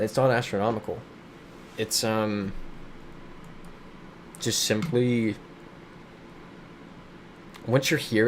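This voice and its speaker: Streamer, male, lowish voice, chatty and riffing on chat; faint air-conditioner hum behind. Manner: chatty, reads viewer comments aloud and riffs on them. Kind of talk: casual live-stream chat while playing a geography game. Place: his home in a big city.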